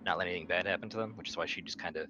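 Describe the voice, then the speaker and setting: A man's voice: low-pitched, very fast, highs cut off, over music. A man casually recapping his characters as a player in a tabletop roleplaying game. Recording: faint background noise.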